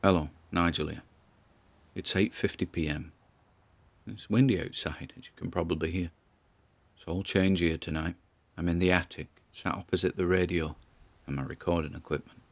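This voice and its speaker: A man's voice: sits low, steady tone, moderate pace, gravelly. A man playing a nervous, wry grandson who records audio logs, in a conversational, musing audio drama monologue. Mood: uneasy, musing.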